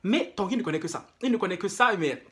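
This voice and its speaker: Fast, emphatic man commentating online. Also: sits high, expressive, a bit bright. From Africa. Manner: emphatic, repeats phrases for emphasis. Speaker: YouTube commentator. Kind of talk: opinionated casual monologue to viewers.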